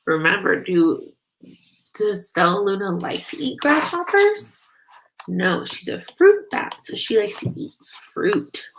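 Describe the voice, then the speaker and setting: A woman reading a children's picture book aloud, expressive and slow, stopping to ask the listeners questions. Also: mid-range voice, vocal fry, a little hiss or hum, rough recording. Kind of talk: children's read-aloud narration.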